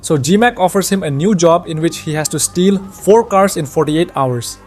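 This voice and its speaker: A man's voice: on the high side, normal speed, darkish tone, soft background music. A man reading scripted narration that recaps a video game's story.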